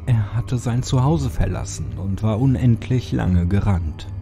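A man narrating a horror story as an audiobook, in a deliberate, brooding and dramatic delivery with pauses. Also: on the low side, gravelly, warm tone, slightly rough.

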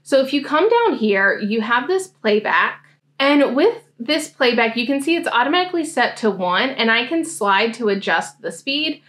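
Higher-voiced young American woman. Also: expressive, normal speed, fairly bright tone. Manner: explanatory, step by step. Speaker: host, academic coach demonstrating software. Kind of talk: casual software tutorial. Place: indoor room, seated at a desk.

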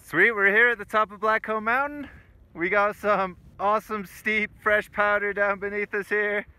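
An easygoing young man chats casually to the camera, his tone joking and relaxed. His voice sits high and bright, with a faint hiss of wind behind it on the open mountain. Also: normal speed. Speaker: snowboarder, co-host. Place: outdoors on a snowy mountain.

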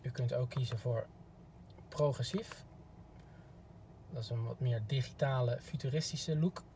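A man's voice: medium pitch, measured pace, dark tone. A man giving a polite, informal instructional demo as a car product expert, recorded inside a parked car. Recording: clear background noise.

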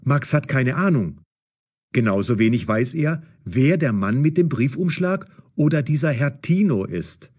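Husky, expressive male storyteller. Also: mid-range voice, measured pace. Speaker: narrator. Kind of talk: scripted audio story narration.

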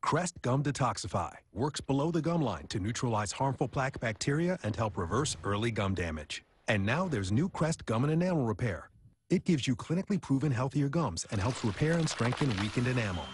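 A man's voice: mid-range voice, very animated, fairly quick, slight background noise. A man reading scripted commercial narration as an announcer. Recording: loud music behind.

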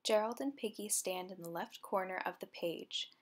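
A young woman reading a children's picture book aloud, softly describing each picture. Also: medium pitch, normal speed. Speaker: storybook reader. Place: indoor room.

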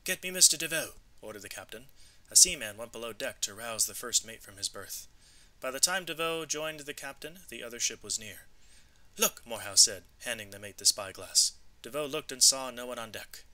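A man reads an educational storybook aloud in a medium-pitched voice. His tone is strikingly bright and forceful. He emphasises phrases clearly and expressively, like material for a lesson. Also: brisk. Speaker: read-aloud narrator. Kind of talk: educational storybook narration with vocabulary asides.

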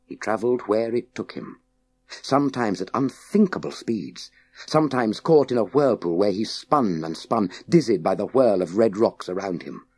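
A man reading a children's science fiction audiobook in a measured, suspenseful, dramatic way with deliberate pauses. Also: on the low side, a little mellow, breathy edge. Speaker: audiobook narrator. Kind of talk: scripted dramatic narration of a children's sci-fi story.

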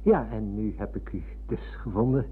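An actor playing a polite, earnest visitor in a radio play, speaking deferentially. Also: male voice, on the low side, normal speed, breathy edge. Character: polite, earnest visitor, former schoolmate seeking old acquaintances. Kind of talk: Dutch radio play acting. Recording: muffled phone-like old radio recording.

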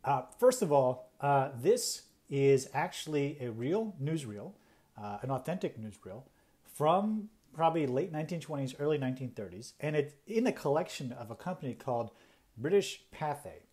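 A man's voice: mid-range voice, big pitch swings, moderate pace, warm tone. A man presenting a conversational explainer, talking through points in an explanatory way and stressing key words.

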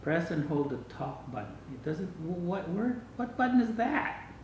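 An older man with a slightly high voice chats casually as he hosts a home gadget test. He is picked up from across a dining room, with plenty of room echo. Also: big pitch swings, normal speed. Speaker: vlog host. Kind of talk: casual chat while testing a gadget. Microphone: camera microphone across the room. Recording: echoey room, distant mic, faint noise.

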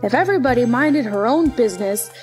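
A woman's voice: on the high side, expressive, fast, music in the background, close to an everyday voice. A woman playing a brusque, bossy, ill-tempered Duchess in a storybook play, curt and snappish.

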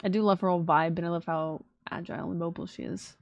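A woman's voice: fairly low pitch, steady tone, quick pace, creaky, no echo, dull audio. A woman in her twenties giving casual, gushing, slangy and playful reaction commentary as a streamer. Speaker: streamer reacting to game trailers. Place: small indoor streaming room at home. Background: faint trailer music.

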